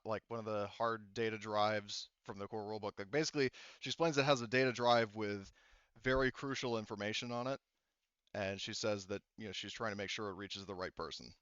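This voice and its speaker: A man acting as game master, narrating a tabletop roleplaying session casually and haltingly over an online voice call. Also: fairly low pitch, fairly bright tone, clear, resonant tone, no echo. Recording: dull lo-fi voice-chat audio.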